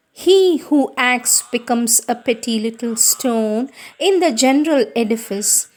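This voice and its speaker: A woman reads devotional prose in a fairly high voice at a very slow pace. Her delivery is solemn and deliberate, with formal, measured phrasing throughout. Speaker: reader of devotional writings. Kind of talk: solemn scripted reading of spiritual prose.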